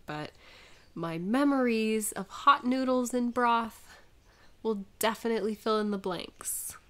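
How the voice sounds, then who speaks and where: A woman playing a sick, sweet young woman flustered by a crush, in an intimate audio roleplay with halting asides to herself. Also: mid-range voice, fairly bright tone. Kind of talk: intimate one-sided audio roleplay acting.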